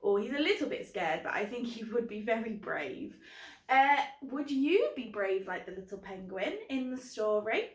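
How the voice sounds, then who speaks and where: A woman's voice: on the high side, very animated, moderate pace. A woman presenting a children's book club in an encouraging way, recorded with a roomy echo. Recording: roomy, echoing sound.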